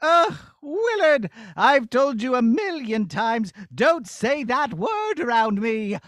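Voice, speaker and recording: A man's voice: very high-pitched, sing-song, slow pace, fairly bright tone, no room sound, clean studio sound, exaggerated voice. A man voicing a fretful, easily frightened old king, dithering and blustering with sighs, in a children's puppet show. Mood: exasperated.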